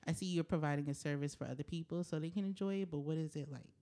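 A woman with a slightly low voice hosts a casual podcast. She asks her questions in a loose, chatty manner and laughs readily. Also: fast talker, mellow. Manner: chatty, laughs easily, informal. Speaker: podcast host.

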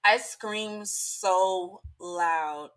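Young adult woman, bright with a touch of hoarseness; casual animated storyteller. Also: medium pitch, extremely slow, low-quality recording. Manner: chatty, animated, many fillers. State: slightly hoarse. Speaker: podcast guest.

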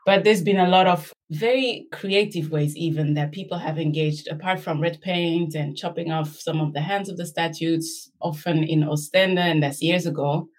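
A woman's voice: deep voice, fairly even intonation, normal speed, few pauses. A middle-aged woman from Belgium, an academic guest speaking reflectively and anecdotally in an interview. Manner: reflective, anecdotal, checks in with "right?".

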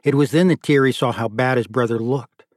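A man's voice: medium pitch, brisk, no echo. Dry-sounding male audiobook narrator. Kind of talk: scripted literary narration.

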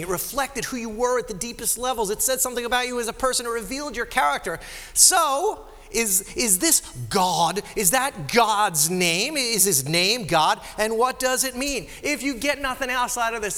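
A man preaching a sermon to a congregation, with a nasal voice and a conversational, animated way of explaining, caught live in a church. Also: very high-pitched, normal speed. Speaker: pastor. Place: church sanctuary. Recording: live sermon in a reverberant hall.

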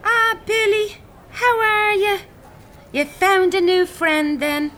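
Breezy Irish woman in radio drama; very high, sharp-edged voice, teasing. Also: takes it slowly, a little hiss or hum, heavily acted. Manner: breezy, colloquial. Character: breezy, teasing female acquaintance passing by. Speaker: actor in an audio drama.

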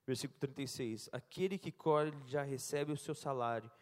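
Halting interpreter in a church hall. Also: male voice, medium pitch. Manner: halting, self-correcting, laughs at slips. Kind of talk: live sermon interpretation and scripture reading. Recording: long church reverb.

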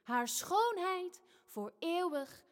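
Radio-play storyteller, animated intonation, slow pace, theatrical, fairy tale. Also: female voice, extremely high pitch, heavily acted. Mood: dramatic, storytelling. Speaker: narrator. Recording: long hall reverb.

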